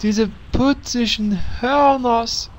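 A man's voice: very high voice, steady tone, slow pace, slight background noise. A man playing a naive, lovestruck male guild member who gushes dreamily, in a parody audio drama. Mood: smitten. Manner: dreamy, gushing.

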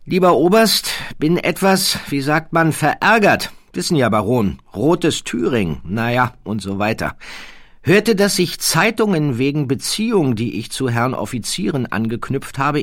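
A man's voice: mid-range voice, very animated, a bit bright, dry recording, clean studio sound, pitched above the performer's own voice. A man voicing a condescending, faintly peeved young aristocratic prince for an audiobook, in clipped, mannered fragments. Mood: peevish embarrassment. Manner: clipped fragmentary sentences, mannered drawling pauses. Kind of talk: audiobook character voice.

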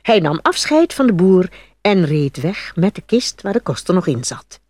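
Animated woman narrating a fairy tale. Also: on the low side, moderate pace, dry recording.

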